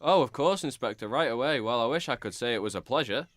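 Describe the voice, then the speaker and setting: A man's voice: medium pitch, lively intonation, quick pace, a bit bright, dry recording, lightly acted. A man playing a rude, money-minded circus owner in an amateur radio drama, brash, scornful and sarcastic.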